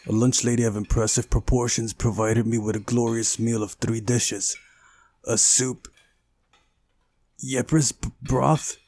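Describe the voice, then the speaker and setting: Male game host, unhurried delivery, middle-pitched voice, reading story text aloud, casual asides, music playing behind. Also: darkish tone. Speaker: gaming channel host. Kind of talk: visual novel read-aloud narration with casual asides.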